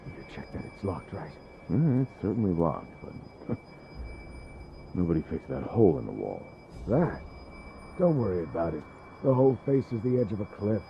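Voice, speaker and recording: A gruff male castle guard chats casually in a game, low and creaky with swinging pitch. The sound is dull, with clear background noise. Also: sing-song, moderate pace, exaggerated voice. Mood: offhand. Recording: dull, noisy, with ambient rumble.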